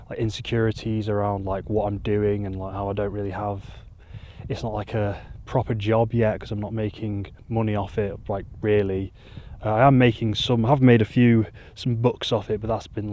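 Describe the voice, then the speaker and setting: A man, talking to camera in a rambling, self-deprecating monotone on a hilltop, into a mic with a furry windscreen. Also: fairly low pitch. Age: 20s. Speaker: YouTuber. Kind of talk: casual vlog talk to camera. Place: outdoors on a hilltop.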